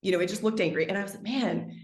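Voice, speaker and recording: A woman's voice: rapid-fire, roomy sound. A woman talking at length as a podcast guest, thoughtful and expansive, with many "like" fillers. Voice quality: trained singer, speaks lower than her singing range. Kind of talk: conversational interview.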